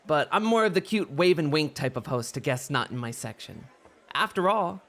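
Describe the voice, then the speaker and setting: Man acting as a high, lilting, coy bunny boy. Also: vocal fry, fairly bright tone. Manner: coy, teasing, playful. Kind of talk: ASMR roleplay acting.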